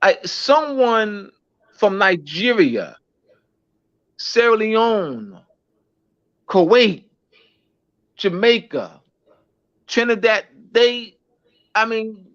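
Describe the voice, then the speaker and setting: A man, a schoolteacher, talking in a casual interview, halting with many restarts and fillers. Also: high voice, animated, a bit bright. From United States. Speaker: schoolteacher guest. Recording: dull lo-fi recording.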